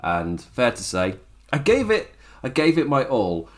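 Raspy, chuckling man reviewing wrestling. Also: medium pitch, wildly swooping pitch, unhurried. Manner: rambling, chuckles often, self-correcting. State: raspy, strained voice. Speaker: wrestling show host. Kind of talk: casual podcast review.

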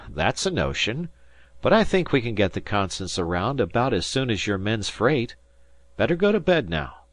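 Man as shrewd, measured father, animated intonation. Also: on the low side, dry recording. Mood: decisive. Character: wealthy, thoughtful father, shrewd and indulgent.

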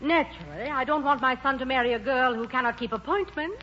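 A woman's voice: high-pitched, fast, pushed and bright. An actress playing a prim, disapproving middle-aged society mother in a 1940s radio drama. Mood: haughty, cool. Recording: dull, noisy old radio broadcast.

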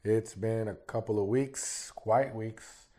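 A man's voice: fairly low pitch, fairly even intonation, takes it slowly, dark tone. A man hosting a casual solo podcast, talking in a rambling way with repeated words, his voice still recovering after he lost it. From Arizona, United States. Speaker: podcast host.

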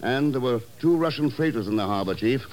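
A cool, understated secret agent played by a man in a 1950s radio drama. His voice sits in the middle range and his delivery is measured and probing. Light noise runs through the old recording. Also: medium pitch, lively intonation, darkish tone, lightly acted, rough recording.

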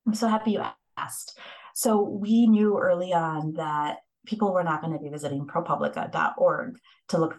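A woman with a notably low voice talks through her reporting work as an interview guest. She tells it in quick, animated bursts and restarts her phrases often. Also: vocal fry, rough recording. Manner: fast, animated storytelling with many restarts. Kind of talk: casual interview talk.

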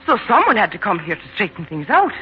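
A woman's voice: mid-range voice, very animated, quick pace, lightly acted. Actress playing an eager, lilting Irish young woman. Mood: exasperated, lively. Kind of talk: 1940s radio acting. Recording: muffled, noisy 1940s radio recording.

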